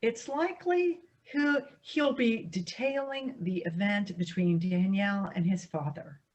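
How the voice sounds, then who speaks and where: A woman's voice: mid-range voice, measured pace, darkish tone, highs cut off, close to an everyday voice. A woman acting in a staged play reading as a defensive, embittered divorcee with an evasive, formal way of talking.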